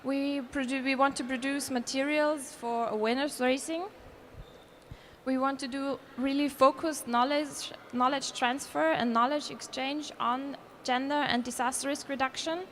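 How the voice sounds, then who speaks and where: High-voiced Austrian woman presenting. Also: fairly even intonation, normal speed, a little hiss or hum. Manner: earnest, with restarts and self-corrections. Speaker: conference presenter. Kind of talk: conference presentation. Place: conference hall.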